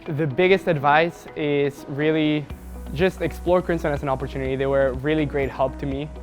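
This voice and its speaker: A young man from Mexico City, a university student giving a relaxed testimonial, talking casually with a lot of "uh" fillers. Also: a little high, normal speed, soft background music. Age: young adult. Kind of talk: testimonial to camera.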